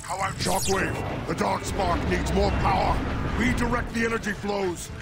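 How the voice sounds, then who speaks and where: An actor voicing a power-hungry robot warlord in a video game, bellowing menacing, imperious commands in a gravelly voice. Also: male voice, high voice, fairly even intonation, a little slow. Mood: imperious fury. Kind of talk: video game villain acting. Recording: very loud music over the voice.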